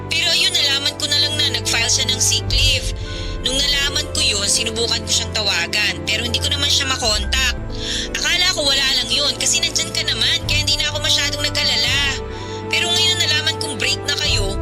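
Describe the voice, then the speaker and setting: A woman's voice: sits high, brisk, natural voice. An actress playing a chatty, sympathetic young woman who comforts her heartbroken friend in a radio drama, with music behind. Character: caring young woman, close friend and coworker.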